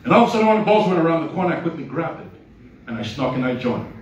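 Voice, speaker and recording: A man's voice: a little high, sing-song, very fast, highs cut off, slight background noise. A middle-aged man with a Nigerian accent, telling his story in an earnest, inspirational speech on a handheld mic at an awards event. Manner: storytelling, earnest, rising to emphatic peaks. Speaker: award honoree giving a speech. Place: large hall at an awards event, red carpet. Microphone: handheld mic, filmed by a phone from the audience. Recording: echoey hall, far from the phone.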